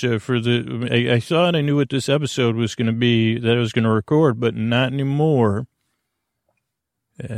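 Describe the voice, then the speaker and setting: A man hosting a bedtime podcast in a creaky, soothing voice, meandering haltingly through a rambling monologue and trailing off into tangents. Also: medium pitch, dry recording, clean studio sound. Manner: lulling, soothing. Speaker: host of a bedtime sleep podcast.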